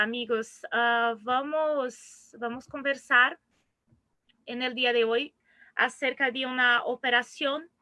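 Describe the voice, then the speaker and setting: Brazilian woman speaker, bright, crisp tone, Portuguese-accented Spanish with restarts, seminar talk. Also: high-pitched, no echo. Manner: mixes Portuguese words into halting Spanish, frequent restarts. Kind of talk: online seminar lecture.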